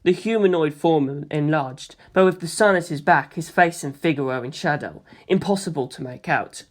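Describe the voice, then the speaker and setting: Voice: medium pitch, normal speed. A narrator reading a first-person story expressively, in the manner of an audiobook.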